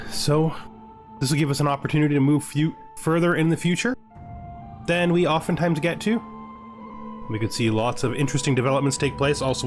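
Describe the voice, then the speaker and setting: A man giving casual, rambling let's play commentary as a gaming host, often tagging on a "right?". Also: medium pitch. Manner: chatty, rambling, checks in with "right?". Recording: music behind the voice.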